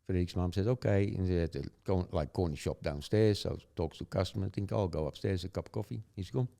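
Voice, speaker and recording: Older man, Dutch accent, gravelly low voice, rambling chat with chuckles and "right?" tags. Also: fast, mellow. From Netherlands. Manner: chuckling, rambling, tag questions. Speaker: guest. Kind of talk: casual storytelling chat.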